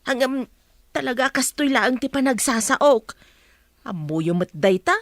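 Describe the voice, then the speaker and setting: Outspoken female household helper played by a woman, audio drama. Highish, very animated voice, frank delivery, close dry sound. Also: a little slow, no echo. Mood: blunt, defensive. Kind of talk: Filipino audio drama acting.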